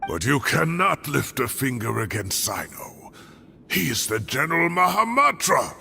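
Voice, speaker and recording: A man voicing a weary but protective old professor in a video game, with a gravelly voice and a halting, earnest delivery. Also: medium pitch, big pitch swings, bright, forceful tone, a little hiss or hum, heavily acted. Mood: resigned, defiant. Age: older. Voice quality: gravelly, creaky. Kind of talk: dramatic video game voice acting.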